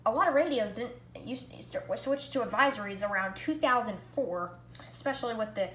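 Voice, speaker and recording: A female-sounding hobby vlogger talks casually through a product review. The delivery is excitable and leans on repeated phrases for emphasis. The room is echoey, with faint noise behind the voice. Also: mid-range voice. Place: indoor room at home. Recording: echoey room, background hiss.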